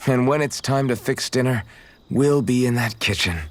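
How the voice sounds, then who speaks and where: A man playing an earnest young swordsman in a video game, gentle in manner and awkward when flustered. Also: medium pitch, normal speed, lightly acted. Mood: warm, sincere. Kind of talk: video game cutscene acting.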